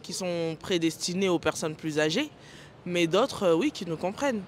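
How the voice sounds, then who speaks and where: A man's voice: sits high, animated, moderate pace. A man in his late twenties giving casual opinions in a street interview. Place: outdoors on a city street. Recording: faint urban street noise.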